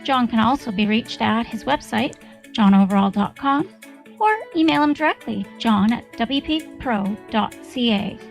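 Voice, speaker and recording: A woman reads a scripted show outro as an announcer. Her voice is a little high and noticeably creaky, with light music behind it. The delivery is instructional. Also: normal speed. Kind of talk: scripted promotional outro narration.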